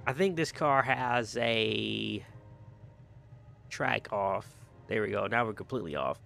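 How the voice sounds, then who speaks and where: A man's voice: on the low side, slow pace, creaky, sharp tone, slight background noise. A man hosting a gaming video with casual, enthusiastic car commentary, conversational and repeating words for emphasis. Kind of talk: casual car showcase commentary over gameplay. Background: music.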